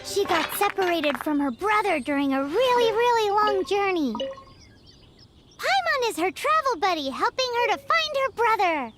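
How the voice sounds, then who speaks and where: Squeaky, excitable little fairy girl. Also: normal speed, very noisy. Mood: curious, chatty. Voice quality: squeaky, childlike. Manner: speaks of herself in the third person, exclaiming. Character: tiny childlike floating girl fairy companion, cheeky and excitable. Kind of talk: animated video game voice acting. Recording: loud music under the voice.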